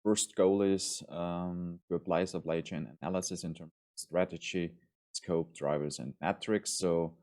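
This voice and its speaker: A male university lecturer with an Austrian accent, giving a formal course introduction in a measured way with frequent ums and restarts. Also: low voice, steady tone, a little mellow.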